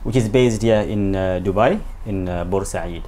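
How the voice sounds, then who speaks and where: A man in his thirties from Zanzibar, answering interview questions and explaining in a halting, pausing way. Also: deep voice, mellow. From Zanzibar, Tanzania. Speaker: interview guest, company owner. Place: small indoor office, seated at a desk. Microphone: lapel. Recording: clear background noise, room ambience.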